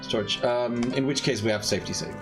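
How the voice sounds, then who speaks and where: A man giving casual live speedrun commentary in a playful, halting way, often repeating words. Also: medium pitch. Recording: loud game music behind voice. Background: game music, keyboard typing.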